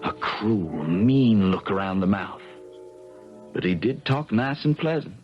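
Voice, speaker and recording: An actor playing a wry grown-up Southern storyteller, narrating a radio mystery drama in the first person. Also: male voice, fairly low pitch, very animated, a little slow, faint music behind. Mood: wry, folksy. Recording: dull old radio recording.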